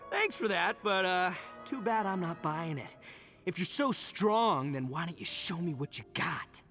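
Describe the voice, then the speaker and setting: A cocky young male gang boss from a video game, with a high, very animated voice. He is hot-headed and blusters theatrically. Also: slow, faint music behind, heavily acted. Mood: cocky, goading.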